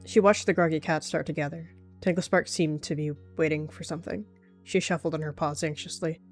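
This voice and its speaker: Female roleplay narrator, lower register, third-person animal fantasy storytelling, quiet music underneath. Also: steady tone, brisk. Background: faint music.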